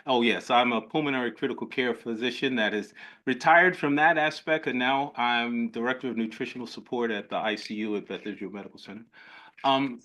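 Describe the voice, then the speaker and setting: A man's voice: medium pitch, a bit bright. An older man hosting a medical webinar, talking in an effusive, rambling way with many restarts. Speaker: host, physician.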